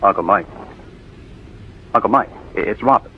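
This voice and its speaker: A man's voice: on the low side, moderate pace, close to an everyday voice. A man playing an earnest, impatient, devoted nephew in a 1940s radio drama, emphatic and given to stammering. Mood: earnest, urgent. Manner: emphatic, stammering when upset. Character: earnest, impatient young male heir, stubbornly devoted nephew. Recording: tinny, hissy old radio recording.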